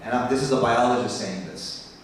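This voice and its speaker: An American man, a biology professor, giving a passionate conference talk that turns animated as he makes his points. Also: brisk, slight background noise. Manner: passionate, rising in pitch when animated. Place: conference hall. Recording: echoey hall from far off.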